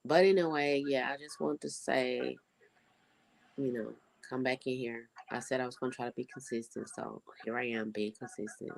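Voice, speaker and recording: Stuffy, congested young adult vlogger with a gravelly voice; laid-back, rambling, lots of fillers. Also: mid-range voice, steady tone, moderate pace, low-quality recording. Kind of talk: casual vlog update.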